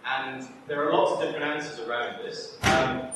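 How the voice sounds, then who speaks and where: A man's voice: medium pitch, steady tone, normal speed. A man from Edinburgh, director of an institute, opening a workshop with a chatty, wry talk full of ums. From Scotland (Edinburgh). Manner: chatty and self-deprecating, many ums, wry asides. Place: large lecture room with a projector. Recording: very echoey room, voice from far off, faint noise.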